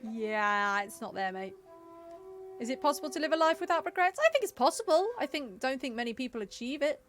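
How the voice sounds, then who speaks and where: Young female streamer, lightly high voice, informal, reflective, casual game commentary. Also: sing-song, brisk, fairly bright tone, soft background music. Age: young adult. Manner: chatty, musing, informal. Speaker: live streamer.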